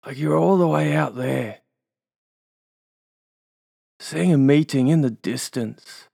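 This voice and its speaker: A man's voice: a little high, slow, creaky, warm tone, no echo, studio quality. A man with an Australian accent leading a guided hypnosis induction, giving soothing suggestions and tag questions.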